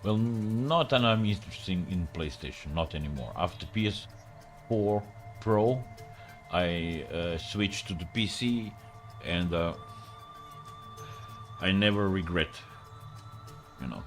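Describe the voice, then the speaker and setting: Low-voiced, slow Croatian-accented male streamer, rambling chat with filler pauses, faint music behind. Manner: rambling, many filler pauses, broken English grammar. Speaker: livestreamer. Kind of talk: casual live-stream chat with viewers.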